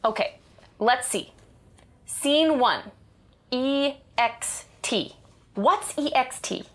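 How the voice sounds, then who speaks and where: A woman playing a pushy, doting, excitable mother in an audio drama, bossy and coaxing. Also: high-pitched, extremely slow, full character voice. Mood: curious, prodding. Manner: bossy and coaxing, with animated exclamations. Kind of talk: naturalistic audio drama acting.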